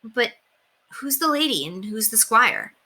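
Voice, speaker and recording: A woman voicing a curious, anxious young boy with an eager, excitable way of asking questions. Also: a little high, measured pace, slightly rough, pitched above the performer's own voice, full character voice.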